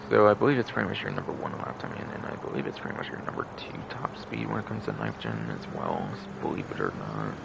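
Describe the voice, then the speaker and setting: A man with a gravelly voice giving rambling, repetitive game commentary as a livestreamer. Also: low voice, wildly swooping pitch, quick pace, hardly any pauses, heavy background noise. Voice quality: gravelly, creaky. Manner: repetitive, circling back over phrases.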